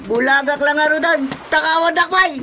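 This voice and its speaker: A woman's voice: sits high, steady tone, moderate pace, a loud crowd. A woman acting a distressed, complaining blind churchgoer in a radio drama, with an Ilocano accent and plaintive delivery. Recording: radio drama with loud music bed. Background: background music.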